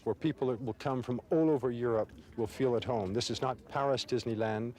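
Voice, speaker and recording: Male executive, mid-pitched, calm interview answer. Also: fairly quick. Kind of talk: interview statement.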